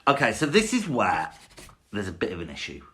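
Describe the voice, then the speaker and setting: A man talking casually as a podcast co-host, his pitch swinging in a lively, animated way. He laughs easily and argues his points with playful enthusiasm. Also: a little fast. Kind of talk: casual chat reviewing a song.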